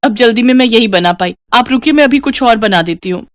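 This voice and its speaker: A woman's voice: a little high, lively intonation, fairly quick, dry recording, close to an everyday voice. Casting: woman as overworked young housewife, obliging and harried, cartoon morality story, close dry sound. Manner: eager to please, harried. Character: dutiful, overworked young daughter-in-law and mother.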